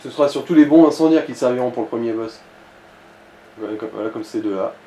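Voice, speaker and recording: A man's voice: medium pitch, fast, mellow. A man guiding a player through a video game with casual tips and comments. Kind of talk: casual gaming chat, giving tips. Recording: roomy echo, faint background noise.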